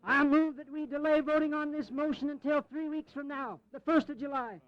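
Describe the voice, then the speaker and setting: An actor playing an insistent, courtly colonial delegate speaking formally in a historical audio drama. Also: male voice, very high-pitched, steady tone, fairly quick. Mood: firm. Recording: dull, close 1980s drama recording.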